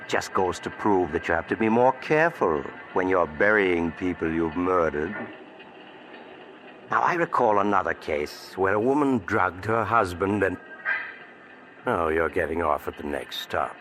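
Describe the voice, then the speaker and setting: A man's voice: low-pitched, animated, unhurried, a bit bright, slight background noise. An actor playing a sinister male storyteller who hosts a 1940s radio horror show, sly and darkly amused. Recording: old radio recording with music behind.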